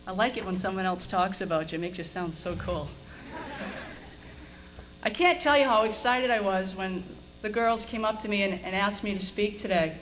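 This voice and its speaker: A woman's voice: medium pitch, moderate pace, fairly bright tone. A middle-aged American woman, a school administrator, giving an informal, conversational commencement speech with a self-deprecating streak. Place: on stage at high school graduation. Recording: noisy event video with room ambience.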